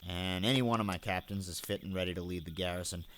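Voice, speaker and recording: A man's voice: low voice, fast, a bit bright, a little hiss or hum. A man playing a stubborn, wry garrison general in a fantasy audio drama, dry and laconic. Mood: dry, unflappable.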